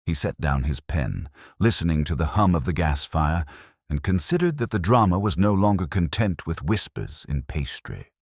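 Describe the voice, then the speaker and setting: Male narrator, very low register with lively intonation, measured literary delivery for mystery fiction. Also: no echo, studio quality. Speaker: audiobook narrator. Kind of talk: scripted narration of a mystery story.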